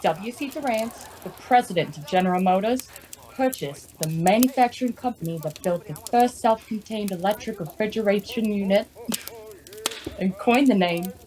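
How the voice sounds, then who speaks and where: Woman as Mrs. Claus, chatty, sweet but sassy, medium pitch, old-time radio comedy. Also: moderate pace, a little mellow, natural voice, low-quality recording. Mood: eager, informative.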